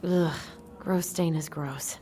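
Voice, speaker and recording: An actress playing a teasing, supportive young sister in a video game, with an American accent. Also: female voice, low-pitched, steady tone, takes it slowly, warm tone, slight background noise. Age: young adult. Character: teasing, supportive young woman, loyal sibling. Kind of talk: naturalistic game dialogue.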